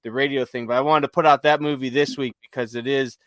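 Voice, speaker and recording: A man's voice: medium pitch, steady tone, quick pace, fairly bright tone, no echo. A man co-hosting a podcast, enthusiastically recommending things in a casual chat and repeating phrases for emphasis. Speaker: podcast co-host.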